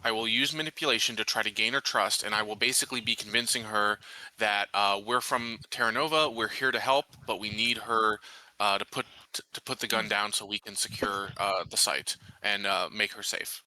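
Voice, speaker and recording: A man with a very bright, forceful voice plays a tabletop roleplaying game. He talks casually and deliberately through his choices, recorded close and dry. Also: on the low side, steady tone, dry recording, rough recording.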